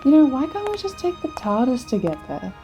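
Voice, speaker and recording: An actress playing a plucky, sceptical young adventurer's companion in an audio drama, with a dry, frank, teasing manner. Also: female voice, high-pitched, sing-song, a little fast, over music. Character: plucky, sceptical Australian woman, the time traveller's companion.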